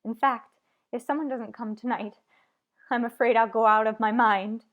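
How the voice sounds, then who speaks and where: A woman's voice: a little high, fairly even intonation, moderate pace, phone-quality sound, close to an everyday voice. A woman playing a nervous, imperious invalid in an old-time radio thriller, agitated and pleading. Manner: demanding, agitated, breathless pleading.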